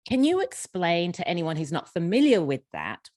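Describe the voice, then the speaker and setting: Female moderator, encouraging, slightly low-pitched; dry, close sound. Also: very animated, moderate pace, dry recording. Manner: warm, encouraging, repeats words when starting sentences. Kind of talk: hosting a panel conversation. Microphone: video call.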